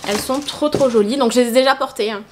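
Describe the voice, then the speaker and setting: Chatty woman vlogger with a cold-stuffed voice, quick delivery, rambling with hesitations. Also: a little high, background noise. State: congested with a cold. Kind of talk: casual shopping haul chat.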